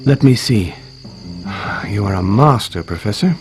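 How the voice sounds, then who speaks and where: An actor playing a confident, gallant swashbuckling hero with a cool, teasing, terse delivery in a game cutscene. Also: male voice, fairly low pitch, lively intonation, unhurried, over music. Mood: satisfied. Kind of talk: scripted video game cutscene acting.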